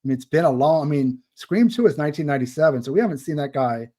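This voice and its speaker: A man's voice: fairly high pitch, lively intonation, fast, mellow. A man hosting a casual interview, chatty and opinionated, with rambling asides. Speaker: podcast host.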